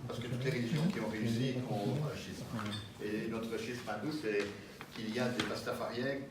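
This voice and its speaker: A man giving a public talk and answering audience questions, lively and joking, with frequent laughs. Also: mid-range voice, normal speed. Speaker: pastafarian minister giving a talk. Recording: echoey hall with noise, mic far off.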